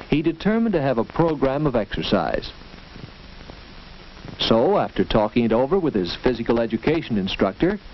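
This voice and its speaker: Animated 1940s American educational film narrator, man. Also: medium pitch, lo-fi sound. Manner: didactic, rhetorical storytelling delivery. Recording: dated 1940s film soundtrack, band-limited, noisy.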